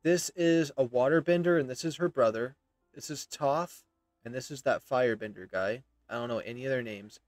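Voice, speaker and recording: A man's voice: mid-range voice, normal speed, dry recording, soft background music. A man in his thirties doing casual, rambling, hesitant gameplay commentary and reading game dialogue aloud as he streams. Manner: rambling, hesitant, self-interrupting.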